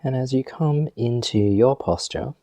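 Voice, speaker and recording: A man leading a guided meditation as a teacher, speaking gently and in a measured way with long pauses. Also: medium pitch, no room sound, dull audio.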